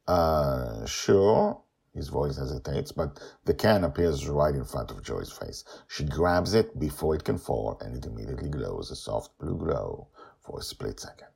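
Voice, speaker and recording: A man telling a story as host, expressive and emphatic, with dramatic pauses and casual asides. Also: a bass voice, vocal fry, husky.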